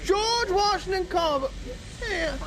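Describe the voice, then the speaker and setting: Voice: very high voice, animated, unhurried, over music. An actor playing a cheeky, self-important young wagon driver with folksy rural speech in a radio drama. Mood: wary, indignant.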